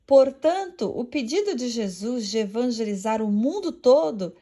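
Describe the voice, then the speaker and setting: A woman with a Portuguese accent giving a measured, devout religious reflection read from a script. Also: fairly high pitch, animated, dark tone.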